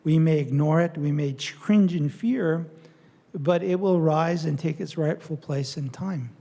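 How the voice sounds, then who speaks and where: An older man lecturing an audience, his voice gravelly and fried at the edges. The tone is mellow and dark, and he stops often to search for words, filling the gaps with fillers and restarts. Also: fairly high pitch. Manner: halting, many fillers and restarts. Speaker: lecturer on Native studies. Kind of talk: public talk with audience Q&A.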